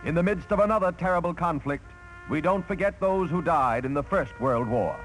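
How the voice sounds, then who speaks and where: Male American newsreel announcer, 1940s. High-pitched, formal, declamatory, vintage soundtrack with music underneath. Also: expressive, a little fast, poor audio. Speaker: newsreel narrator. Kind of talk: 1940s newsreel scripted narration. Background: loud music.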